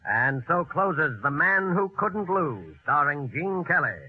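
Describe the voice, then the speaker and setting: A man's voice: fairly high pitch, very animated, slow. A man announcing formally and dramatically in scripted 1940s radio style. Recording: muffled old radio recording, loud music.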